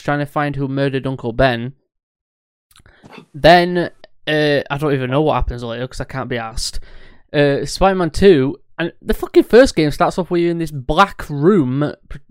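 A young man in his twenties talks through a casual podcast chat, rambling and animated and swearing freely. His voice is smooth and clear. The sound is dry and close. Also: a little high, moderate pace, no room sound. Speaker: podcast co-host.

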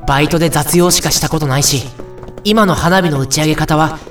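A sincere young man narrates his story in a radio play, voiced by an actor. His voice is lightly pitched, roomy and a bit far from the mic, over faint music. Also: brisk, natural voice. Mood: earnest, resolute. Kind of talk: first-person audio drama narration. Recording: echoey, distant, music behind.

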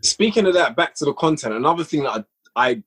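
A man's voice: on the high side, animated, fast, fairly bright tone, slightly rough. A man talking as a podcast guest who creates audio dramas, chatting casually and rambling with plenty of fillers. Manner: rambling, peppered with "like". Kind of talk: casual chat.

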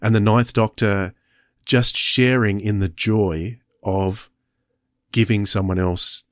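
A man with a lowish voice in a very dry recording chats as a podcast co-host. His reviews are casual and appreciative, delivered haltingly with repeated phrases. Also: steady tone, no room sound.

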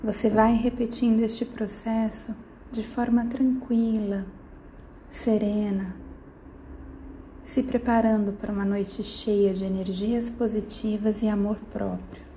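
Slow, soothing woman guiding sleep. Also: mid-range voice, level tone, a little hiss or hum. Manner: slow, soft and soothing, with gentle pauses. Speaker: meditation guide. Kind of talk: guided sleep meditation.

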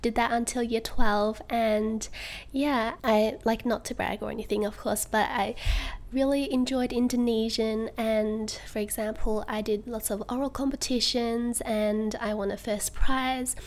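A woman's voice: on the high side, fairly even intonation, unhurried. A young woman from Australia, a podcast guest who chats in a bubbly way and laughs often. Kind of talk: casual interview chat.

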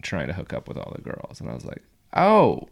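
A man hosting a casual interview, rambling and restarting his sentences with quick laughs. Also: low-pitched, wildly swooping pitch, gravelly. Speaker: podcast host and comedian.

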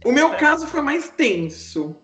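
A man's voice: very high-pitched, unhurried, reverberant, loud music behind the voice. A man with a Brazilian accent chatting casually and expressively as a podcast host, telling stories. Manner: expressive, animated. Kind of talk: casual chat, storytelling.

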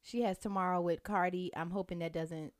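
A woman in her late twenties co-hosting a casual podcast chat, relaxed and agreeable. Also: lowish voice, brisk. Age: 20s. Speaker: podcast co-host.

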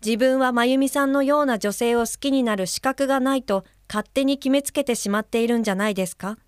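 Earnest young caregiver, polite and careful, voiced by a woman slightly high, radio drama. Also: fairly quick, smooth, no room sound, clean studio sound, natural voice. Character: earnest young female guide-helper, polite and conscientious. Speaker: caregiver reading a letter aloud. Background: music under the voice.

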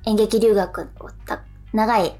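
A woman's voice: medium pitch, fairly even intonation, slow pace, warm tone, faint music behind. A woman co-hosting a radio show, chatting casually in polite speech. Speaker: radio show co-host, stage actress.

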